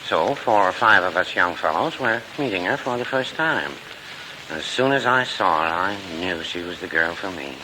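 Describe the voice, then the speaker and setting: A mild, earnest older man in vintage radio comedy. His low voice is hesitant and folksy, taking everything literally. Clear hiss covers the old recording. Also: animated, normal speed. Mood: earnest reminiscing. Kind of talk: 1940s radio comedy acting.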